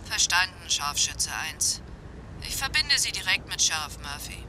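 An actress in a German radio drama playing a police dispatcher, reporting in a formal, clipped, businesslike way. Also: female voice, fairly low pitch, big pitch swings, moderate pace, sharp tone, a little hiss or hum, full character voice, rough recording.

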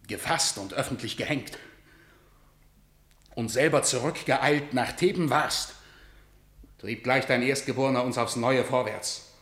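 A man's voice: mid-range voice, a bit bright, lightly acted. A man playing a grave war messenger. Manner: urgent, grave declamation. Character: male messenger bringing news of defeat. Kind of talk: classical verse radio drama. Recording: roomy studio echo.

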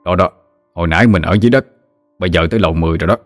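Storybook nephew played by a male narrator: Southern Vietnamese, respectful, patient, low register, soft music underneath. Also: very fast, no room sound, studio quality. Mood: amused, patient. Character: easygoing younger man patiently explaining things to elder. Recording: music behind the voice.

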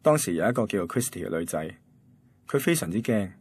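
A man's voice: fairly low pitch, sing-song, fast talker, darkish tone, slight background noise. An actor playing an easygoing, reflective young man from a radio drama, with a Hong Kong accent. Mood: reflective, narrating. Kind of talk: radio drama dialogue and narration.

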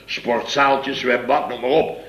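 An actor playing a blustering middle-aged building-firm boss in a 1960s radio comedy, telling stories with big theatrical swings. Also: male voice, mid-range voice, fairly even intonation, slow, fairly bright tone. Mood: boisterous. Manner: boisterous storytelling, exaggerated swings. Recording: echoey old radio studio recording.

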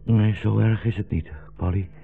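An actor playing a gentle old blind rabbi, wounded and dying, slow and solemn with a gravelly voice, in a 1960s radio drama. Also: male voice, fairly low pitch, steady tone, dry recording. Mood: pained, weakening. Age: older. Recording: muffled 1960s radio broadcast recording.

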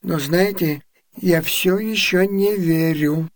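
Comic radio role: blustering old merchant, irascible, high-pitched, spluttering and sceptical. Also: male voice, slow pace. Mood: baffled, doubtful. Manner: spluttering, exclamatory. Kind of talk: theatrical comic radio play acting.

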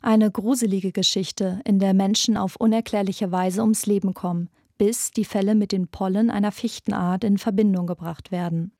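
A woman's voice: medium pitch, fairly even intonation, normal speed, hardly any pauses, dark tone, no echo, studio quality. A woman hosting a podcast, reading scripted documentary narration.

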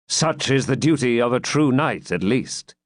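A man voicing a dignified, probing knight in an audiobook, with formal, measured old-fashioned speech. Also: mid-range voice, lively intonation, no echo, studio quality, voice pushed up, natural voice. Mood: courteous. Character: dignified, courteous, probing male champion knight. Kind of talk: audiobook character voice.

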